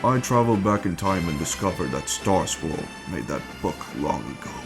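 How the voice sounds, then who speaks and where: Guy doing a menacing villain, really deep, measured and formal, plotting conquest, loud music under him. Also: animated. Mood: cold, calculating. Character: scheming, menacing male villain bent on conquest. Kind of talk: amateur dramatic reading.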